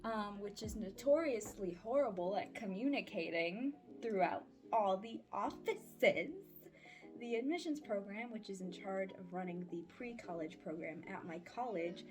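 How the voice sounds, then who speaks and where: A woman's voice: mid-range voice, lively intonation, measured pace. A woman in her twenties chatting casually in a life-update vlog, candid and slangy with playful sarcasm. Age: 20s. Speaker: vlogger and voice-acting audio creator. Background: background music.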